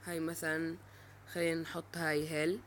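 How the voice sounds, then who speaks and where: A man's voice: on the high side, completely flat delivery, fairly quick, fairly bright tone. Young-sounding male tutorial presenter, faint background noise. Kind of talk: informal screen-recorded app tutorial.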